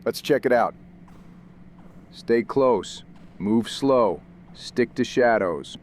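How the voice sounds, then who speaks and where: A man's voice: mid-range voice, animated, takes it slowly, a little hiss or hum. A man voicing a composed male community leader who gives clipped, commanding instructions in a noir audio drama. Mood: terse. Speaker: council leader.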